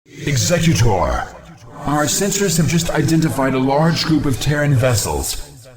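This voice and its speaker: An actor playing a proud, dutiful alien military officer in a video game, formal and crisp in his reporting. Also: mid-range voice, sing-song, measured pace. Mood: urgent reporting. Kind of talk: scripted video game voice acting.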